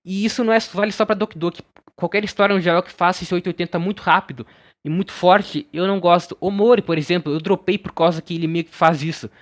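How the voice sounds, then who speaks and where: High-voiced young Brazilian man giving blunt opinions. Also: steady tone, fast talker. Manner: informal, blunt. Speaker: video game reviewer. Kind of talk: casual opinion commentary.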